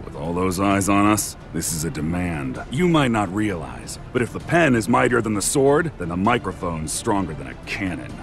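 An actor playing a gruff, cynical bodyguard with a gravelly voice in a dubbed anime game cutscene. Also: male voice, on the low side, big pitch swings, moderate pace, hardly any pauses, faint music behind. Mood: cynical, stern.

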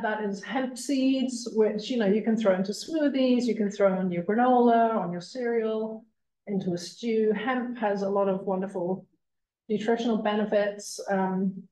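A woman of middle pitch talks an audience through a slideshow in a casual, chatty way, dropping um and you know as she goes. She is heard from a distance in a roomy, echoing library meeting room. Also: a little mellow. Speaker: library talk presenter on plant-based meal prep. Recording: echoey room from far off.

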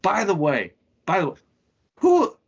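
Brief: bantering man in his thirties, slightly high, lively voice, somewhat muffled home sound. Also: measured pace, vocal fry, slightly rough. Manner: loose, laughing, swearing banter. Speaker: podcast co-host. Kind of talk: casual profane chat. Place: home room, remote video call.

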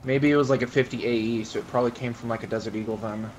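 A man's voice: medium pitch, fairly even intonation, very fast, a little mellow. A man improvising in-character in an online roleplay game, casual and hesitant as he thinks aloud over voice chat. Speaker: roleplay gamer playing an investigator. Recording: noisy gaming voice chat.

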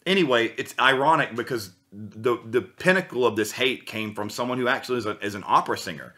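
A man's voice: medium pitch, brisk. A man in his thirties, a voice teacher, telling a long story in casual podcast chat, rambling with lots of restarts. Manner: rambling, animated, many restarts. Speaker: guest, voice teacher. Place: small room with guitars on the wall.

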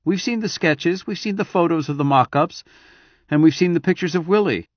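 A man voicing an adult woman, an eager, affectionate wife who chatters quickly, in a storybook reading. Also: fairly high pitch, no room sound, muffled recording, pitched above the performer's own voice, lightly acted. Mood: earnest.

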